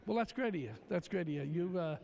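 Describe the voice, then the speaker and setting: A man's voice: high-pitched, animated, fast, gravelly, a little mellow, muffled recording. An older man from Pennsylvania hosting a live telethon, chatting folksily with plenty of fillers. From Tamaqua, Pennsylvania. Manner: folksy, hesitant with many "uh" fillers. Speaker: telethon host. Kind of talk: live TV interview.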